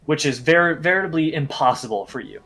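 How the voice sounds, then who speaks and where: A man's voice: fairly high pitch, animated, fairly quick, a bit bright, faint music behind. A man casually running a tabletop roleplaying game, explaining rules in a precise, matter-of-fact way. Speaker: game master.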